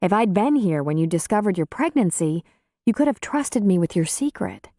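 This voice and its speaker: A woman narrator plays a caring, level-headed midwife in an audiobook. The voice is medium in pitch and very mellow, with an earnest, gently firm concern, recorded close and dry. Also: very animated, normal speed, no echo. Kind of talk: audiobook character dialogue.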